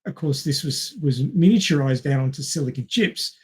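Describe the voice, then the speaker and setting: A man's voice: fairly high pitch, animated, normal speed, darkish tone, breathy edge. A man in a casual panel discussion, explaining technical things step by step. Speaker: podcast panelist.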